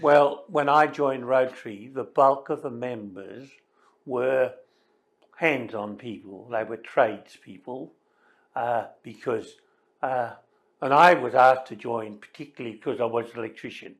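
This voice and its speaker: An older man reminisces in an interview in a deliberate, dry, matter-of-fact way. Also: medium pitch. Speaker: service club member interviewed.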